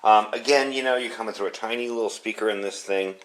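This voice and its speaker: A man's voice: fairly low pitch, quick pace, fairly bright tone, a little hiss or hum, low-quality recording. A man giving a casual hands-on gear review indoors, explaining things in a rambling, informal way. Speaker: product reviewer. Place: indoors at home.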